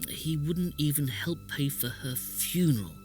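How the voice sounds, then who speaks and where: A man's voice: on the high side, a little slow, a little mellow, faint music behind, lightly acted. A man playing a resentful poor relation of a village lord in a podcast drama, speaking in an aggrieved, emphatic way. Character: bitter, resentful brother of a wealthy village lord. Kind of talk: podcast audio drama acting.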